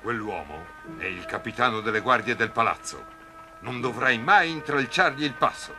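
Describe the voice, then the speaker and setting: A man's voice: mid-range voice, lively intonation, normal speed, close to an everyday voice. A man voicing a stern but fatherly old desert merchant in a cartoon, giving firm instruction. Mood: instructive. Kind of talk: animated cartoon dub acting. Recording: music behind the voice.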